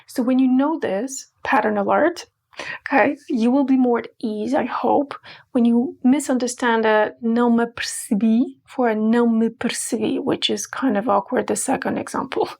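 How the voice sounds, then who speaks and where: A woman's voice: on the high side, gravelly, a little mellow. A woman from Portugal, teaching a playful, emphatic scripted language lesson. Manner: playful, emphatic, slows to spell out words.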